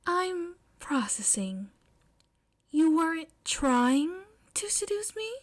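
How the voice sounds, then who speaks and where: A woman voicing a polite, bashful teenage girl in love, soft and hesitant, in a fan fiction audio reading. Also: extremely high pitch, big pitch swings, slow, voice pushed up, full character voice. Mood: flustered, unsure.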